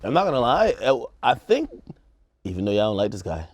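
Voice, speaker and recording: A man's voice: mid-range voice, sing-song, a little fast, no room sound. A man co-hosting a casual panel talk, giving emphatic opinions with plenty of slang and swearing. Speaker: show co-host giving opinions.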